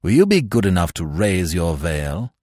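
A man's voice: low-pitched, very animated, moderate pace, a little mellow, no echo, studio quality. A man voicing an irritable, commanding male investigator in an audiobook story, snapping out demands with fierce intensity. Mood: curt, polite.

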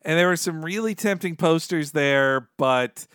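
Guy podcast host just chatting away, kinda high voice, smooth n clear, explains stuff. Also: measured pace, a bit bright, no room sound, clean studio sound. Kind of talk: casual fan chat.

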